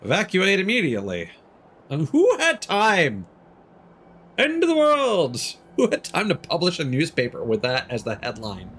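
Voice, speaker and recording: A man live-streaming a game, giving joking, sweary, animated commentary as he plays. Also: fairly high pitch, moderate pace, slightly rough. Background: video game music and sound.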